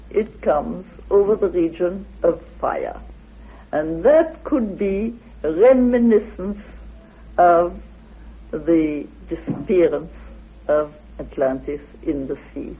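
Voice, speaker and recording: Male scholar for interview, high husky voice, deliberate halting delivery that turns declamatory, thin old radio sound. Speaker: interviewed researcher of ancient myths. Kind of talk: lecture-like interview explanation. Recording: tinny phone-like old radio recording.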